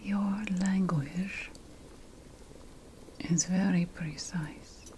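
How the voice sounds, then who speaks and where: Woman playing ancient witch priestess whispering real slow, asmr roleplay, lofty potion scholar, noisy recording. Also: fairly low pitch, fairly even intonation. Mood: hushed, condescending. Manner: hushed, deliberate, lingering over lists.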